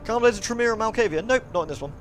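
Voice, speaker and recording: Higher-pitched man narrating a game livestream. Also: lively intonation, rapid-fire, background noise. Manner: dramatic storytelling narration, breaking into playful chatty asides. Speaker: live streamer. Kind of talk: reading a visual novel aloud with casual asides to chat.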